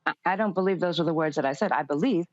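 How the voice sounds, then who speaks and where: Firm, low-voiced woman on a dull feed. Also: no room sound. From United States. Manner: measured, firm, pointedly deliberate. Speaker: interview guest. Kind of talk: live political interview answers.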